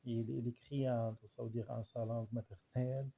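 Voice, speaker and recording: Gravelly, mellow man with Arabic accent. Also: medium pitch, little pitch movement, lo-fi sound. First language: Arabic dialect. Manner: halting, searching for words, much repetition. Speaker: language researcher and writer. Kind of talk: informal spoken reflection.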